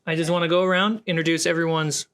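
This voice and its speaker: A man's voice: fairly high pitch, level tone, fast talker, a bit bright, faint music behind. A man acting as game master, narrating a fantasy tabletop game among friends. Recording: clear background noise and ambience.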